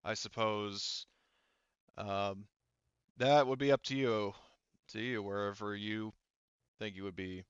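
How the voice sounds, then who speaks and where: A man speaks for a female resistance leader in a tabletop game. The delivery is measured and matter-of-fact, with halting pauses. The tone is smooth and clear, recorded dry and slightly dull. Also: lowish voice, steady tone, no room sound. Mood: measured, planning. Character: female resistance leader calmly laying out battle plans.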